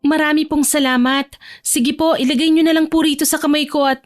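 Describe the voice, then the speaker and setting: Woman acting a timid young female trainee, high-voiced. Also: fairly even intonation, a little fast, no echo, clean studio sound, close to an everyday voice. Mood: shy, polite. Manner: deferential, apologetic. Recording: music bed behind the voice.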